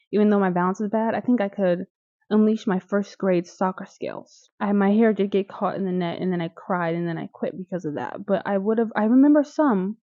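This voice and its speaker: A young woman with a Midwestern American accent talks through a show in a loose, chatty way, full of asides to the viewer. Her voice is mellow and soft-edged. The sound is dry and slightly dull. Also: medium pitch, no room sound. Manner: chatty and animated, with laughs and quick asides to viewers. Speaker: video reviewer. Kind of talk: casual chat recapping a TV series.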